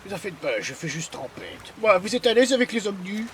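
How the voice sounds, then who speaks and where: A man's voice: high-pitched, very animated, fairly quick, a little hiss or hum. A man voicing a cowardly, boastful swindler in an improvised game roleplay, whining and pleading theatrically. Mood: smug, boastful. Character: shrill, cowardly charlatan, boastful, melodramatic male swindler.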